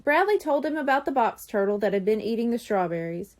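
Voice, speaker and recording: A woman reading a children's chapter book aloud in an expressive storytelling manner. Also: a little high, brisk. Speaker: audiobook reader.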